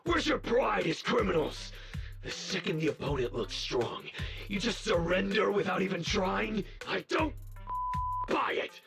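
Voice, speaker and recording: A man playing a hot-headed, gallant fighter in a video game, forceful and dramatic, full of exclamations. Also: high-pitched, very animated, a little slow, creaky, music in the background, full character voice. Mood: indignant, defiant.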